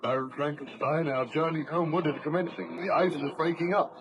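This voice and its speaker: An actor playing a firm, inquisitive ship's captain in measured, formal old radio drama style. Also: male voice, a little high, steady tone, background noise, natural voice. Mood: calm, inquiring. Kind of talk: 1940s radio drama acting.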